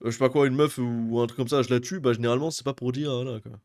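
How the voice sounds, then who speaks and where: A man's voice: mid-range voice, fairly even intonation, very fast, music in the background. A young man streaming a reaction to an anime, chatting casually with slang and jokes. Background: anime episode audio.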